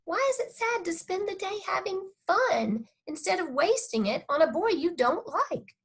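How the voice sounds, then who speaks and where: A woman voicing an easygoing father who coaxes softly and persuasively in a children's audiobook. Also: very high-pitched, very animated, normal speed, voice pushed up, heavily acted. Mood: coaxing. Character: easygoing, gently persuasive father of a little girl.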